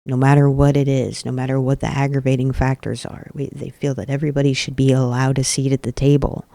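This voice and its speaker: Male podcast host, earnest advocacy commentary, dark mellow tone, voice a bit off today. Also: medium pitch, level tone, vocal fry, no room sound. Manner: earnest, emphatic, deliberate repetition. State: voice a little off today.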